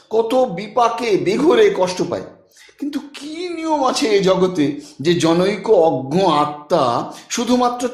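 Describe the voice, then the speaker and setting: A man narrating a literary audiobook expressively, with dramatic, deliberate phrasing and pauses. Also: sits high, reverberant.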